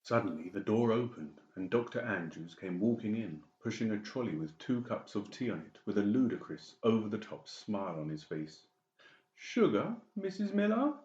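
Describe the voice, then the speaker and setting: A man's voice: lowish voice, normal speed, few pauses, a little mellow. A man reading a dramatic scripted audiobook narration. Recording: dull, echoey room recording.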